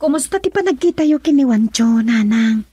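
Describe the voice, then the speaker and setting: A troubled young Filipino daughter in a radio drama. Her voice is high, soft and mellow with a lot of breath in it. She speaks plaintively and hesitantly, full of anguish. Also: animated, fairly quick, slightly rough, dry recording. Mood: anxious. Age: young adult. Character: anguished, tearful young pregnant married daughter. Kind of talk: Ilocano radio drama acting.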